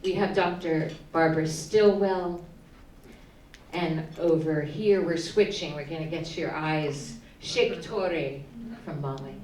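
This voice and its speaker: A woman's voice: deep voice, slow pace, a little mellow. A woman facilitating an event, informally guiding a small group through introductions. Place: indoor breakfast roundtable session. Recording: distant mic in echoey noisy room.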